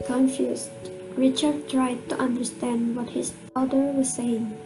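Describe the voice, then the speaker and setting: A woman's voice: high voice, flat delivery, measured pace, rough recording. A woman narrating a children's tale for an amateur radio drama in a scripted storytelling style. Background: guitar music.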